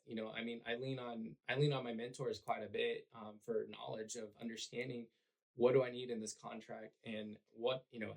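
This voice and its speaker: A man whose voice is hoarse and raspy, with a gravelly edge that drags at the ends of phrases. He speaks at a middle pitch in an earnest, relaxed podcast-chat way. He leans on fillers as he explains. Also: low-quality recording. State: hoarse from cheering at a game. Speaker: realtor and podcast guest. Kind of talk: conversational podcast interview.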